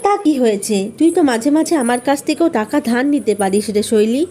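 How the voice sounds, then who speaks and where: A woman voicing a caring young female college friend, familiar and gently chiding, in a storybook tale. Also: a little high, animated, fast talker, close to an everyday voice. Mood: reassuring.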